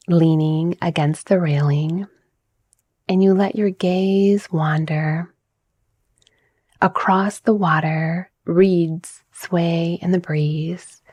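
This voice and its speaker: A woman softly narrating a guided relaxation visualization in a breathy, soothing, measured manner. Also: fairly low pitch, dry recording. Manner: soft, breathy, soothing, gently measured delivery. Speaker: guided meditation narrator, mindset coach.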